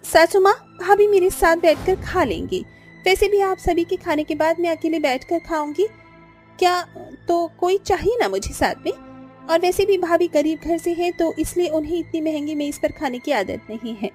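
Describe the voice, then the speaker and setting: A woman voicing an arrogant, sharp-tongued young daughter-in-law in a cartoon family drama, with haughty, theatrical delivery. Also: extremely high pitch, moderate pace, faint music behind, pitched above the performer's own voice. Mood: dismissive.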